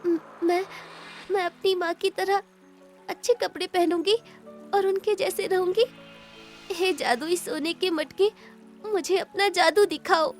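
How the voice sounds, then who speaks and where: A woman voicing a meek, mistreated young girl in an animated moral story, timid and plaintive. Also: very high voice, a little slow, pauses often, soft background music. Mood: amazed.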